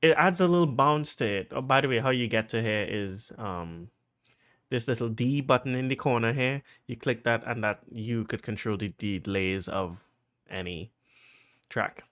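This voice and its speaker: A man's voice: mid-range voice, moderate pace. Chatty Trinidadian man explaining beats. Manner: chatty, lots of "like" fillers, gets excited. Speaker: music producer. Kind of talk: casual production tutorial.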